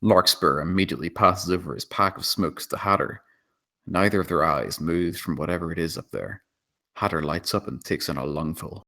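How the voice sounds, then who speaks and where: A man's voice: low-pitched, normal speed, creaky, bright, forceful tone. A man narrating stage directions in a dramatic screenplay table read.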